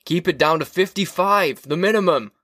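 A man's voice: high-pitched, normal speed, a bit bright, no echo, studio quality, pitched above the performer's own voice. A man playing a troubled, exasperated husband from a dystopian novel, asking urgent, probing questions. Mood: shouting.

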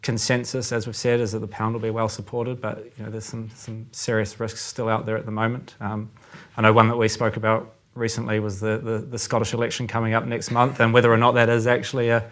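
A man with a New Zealand accent, guest on a finance podcast, giving measured market views with many fillers. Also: lowish voice, monotone, fairly bright tone. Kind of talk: casual finance chat.

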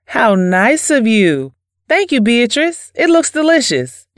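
An actress playing a gentle, trusting wife and mother, politely spoken, in an audio drama. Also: a little high, very animated, takes it slowly, no room sound, clean studio sound. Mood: kindly.

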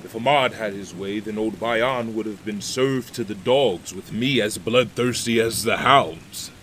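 Imperious ruler, lowish voice, forceful edge, blustering, excitable, dismissive, audio drama. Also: male voice, a little slow, a little hiss or hum. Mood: stern, troubled. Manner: blustering, commanding. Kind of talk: theatrical audio drama acting.

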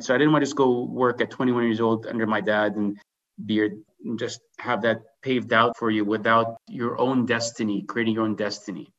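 A man's voice: medium pitch, a bit bright, muffled recording. A middle-aged man tells his story in a casual, conversational interview style, often checking in with "right?". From grew up in Dubai and Canada. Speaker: podcast guest, insurance business executive.

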